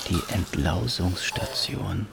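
Creaky, murmuring middle-aged man. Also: sits low, slow pace, noisy background, full character voice. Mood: wry, resigned. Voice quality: creaky, often breathy near-whisper. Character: bewildered, resigned middle-aged optician drifting through time. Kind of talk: radio drama acting. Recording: loud music behind.

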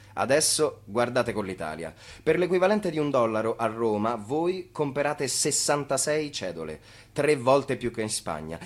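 A man's voice: medium pitch, natural voice. A man giving theatrical scripted narration in a radio play, explaining didactically and putting rhetorical questions to listeners.

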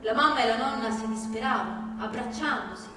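A woman's voice: fairly high pitch, brisk. A woman giving a theatrical scripted narration as a stage reading set to music. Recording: echoey hall from far off, music behind.

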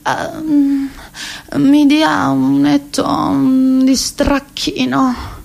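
A woman's voice: high-pitched, level tone, drawn-out words, vocal fry, darkish tone, heavily acted. An actress around thirty with a Roman Italian accent, playing a neurotic, rambling, self-ironic woman in a comic radio monologue. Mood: flustered.

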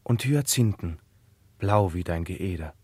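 A tender young prince in a classical radio play. His voice is rather low and closely dry. He speaks verse in a solemn, lyrical way. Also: animated, measured pace, darkish tone, breathy edge, no room sound, full character voice. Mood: mournful, tender.

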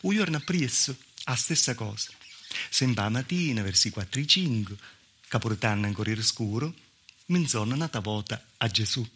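A man's voice: medium pitch, lively intonation, fairly quick, a bit bright, a little hiss or hum. A man performing a theatrical dialect monologue as a southern Italian village woman, with a Calabrian accent and an intimate, repetitive storytelling manner. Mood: confiding, wry. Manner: intimate storytelling with repetitions and spoken sound effects. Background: live music accompaniment.

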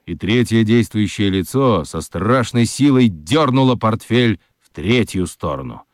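A man narrating a children's radio story with dramatic, expressive delivery and deliberate pauses. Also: fairly low pitch, dry recording, studio quality.

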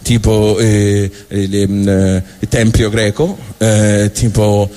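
A man from Tuscany with a Tuscan accent, performing a comic storytelling monologue in a halting, colloquial way, often interrupting himself. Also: lowish voice, level tone, dark tone. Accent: Tuscan Italian. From Tuscany, Italy. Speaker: stand-up monologist.

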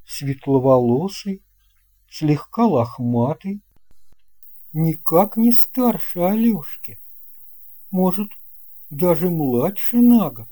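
A man narrating a children's tale as an audiobook reader, in a measured, expressive way. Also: fairly high pitch. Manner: slow, measured, expressive reading.

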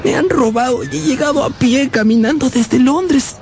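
A man's voice: very high-pitched, normal speed, creaky, darkish tone, faint music behind, a faint crowd. An actor playing a pleading, tearful runaway orphan boy of ten in a radio drama.

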